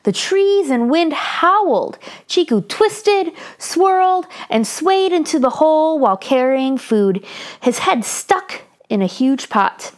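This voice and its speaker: Woman, high swooping pitch, slow theatrical children's narration, deliberate storyteller. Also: sing-song. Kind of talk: expressive children's read-aloud narration.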